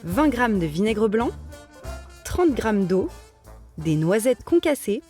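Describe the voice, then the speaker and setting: A woman reads a scripted voice-over at a slow, measured pace. Each item is set out separately, with light music playing underneath. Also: mid-range voice, sing-song, a little mellow. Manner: measured list reading.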